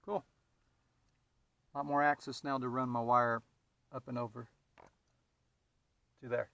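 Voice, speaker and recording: Man, medium pitch, informal DIY vlogger, halting thinking-aloud delivery, hands-on tutorial style. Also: level tone, creaky, sharp tone, no room sound, highs cut off. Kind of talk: casual hands-on installation tutorial, talking while working.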